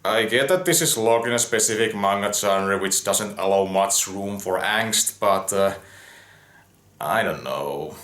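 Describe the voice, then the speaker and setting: A man giving conversational review commentary in a musing, somewhat halting way. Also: lowish voice, a bit bright. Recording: roomy, echoing voice recording.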